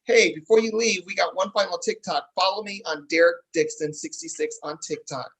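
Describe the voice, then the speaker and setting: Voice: medium pitch, fairly quick, fairly bright tone, husky, poor audio. A show host interviewing a cast and promoting a stage play in a conversational way.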